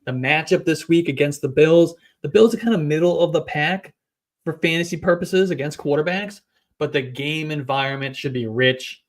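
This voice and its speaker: A man hosting a fantasy football podcast, delivering brisk, stat-heavy analysis in casual chat. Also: on the high side. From New England.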